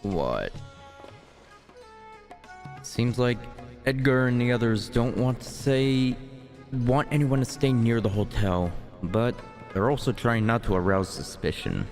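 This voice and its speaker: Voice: mid-range voice, animated, slow, long pauses, darkish tone, soft background music. A streamer giving casual, offhand, wry commentary on gameplay. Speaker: gaming streamer.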